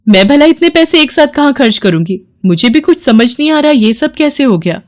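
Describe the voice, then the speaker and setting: A woman playing a concerned, gently advising mother in a children's moral story. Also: sits high, expressive, moderate pace, voice pushed up. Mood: worried.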